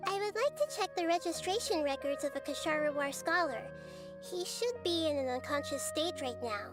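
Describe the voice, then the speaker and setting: Gentle girlish goddess. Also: sits high, animated, moderate pace. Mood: calm. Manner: soft, composed and polite. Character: gentle small girlish goddess, calm and wise. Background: game music.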